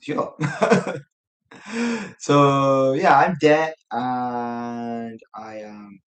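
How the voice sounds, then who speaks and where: A man's voice: mid-range voice, steady tone, very slow, a bit bright, reverberant. A man with an English accent chatting casually and unscripted as he hosts a live stream, rambling and thinking aloud. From England. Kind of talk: casual unscripted self-introduction to viewers.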